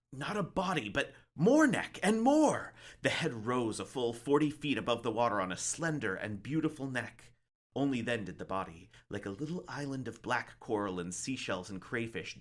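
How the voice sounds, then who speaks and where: A man reading a short story aloud as dramatic narration, in a deliberate, suspenseful manner. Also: fairly low pitch, fairly bright tone.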